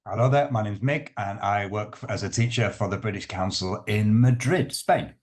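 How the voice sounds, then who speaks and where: A male English teacher talks about himself on an online panel. His voice is low. He speaks in a relaxed, unhurried way and stops often for ums. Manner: relaxed and hesitant, many ums. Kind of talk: casual introduction.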